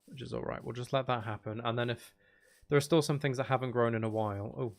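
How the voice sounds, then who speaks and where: Casual male gamer with creaky voice. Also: mid-range voice, steady tone, quick pace. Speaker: gaming video host. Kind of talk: casual unscripted gameplay commentary.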